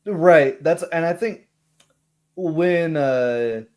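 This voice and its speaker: A man's voice: on the high side, extremely slow, a little mellow. A man chatting casually in a group, explaining his thoughts on a tabletop game and often checking for agreement.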